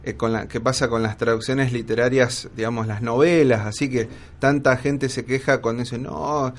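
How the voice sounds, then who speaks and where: A man's voice: mid-range voice, steady tone, normal speed. A man hosting an interview, asking long, meandering questions with many fillers. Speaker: radio host. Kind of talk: conversational interview.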